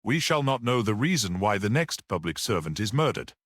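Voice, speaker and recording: A man's voice: lowish voice, sing-song, fairly quick, a bit bright, no echo, studio quality, voice pushed up. A man voicing a passionate, vindictive male barrister in a storybook, with vibrating, emphatic courtroom oratory. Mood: impassioned, vindictive. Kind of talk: dramatic audiobook character reading.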